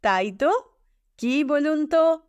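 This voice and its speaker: A woman's voice: high-pitched, expressive, slow, no room sound, highs cut off, pitched above the performer's own voice. A woman voicing a genial, curious male city office-worker in an audio story, lightly conversational.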